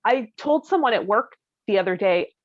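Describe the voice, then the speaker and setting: Female co-host, mid-pitched, conversational and open about herself, on a slightly muffled lo-fi recording. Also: animated, moderate pace, no echo. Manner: conversational, candid. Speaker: podcast co-host. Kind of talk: casual chat, personal anecdotes.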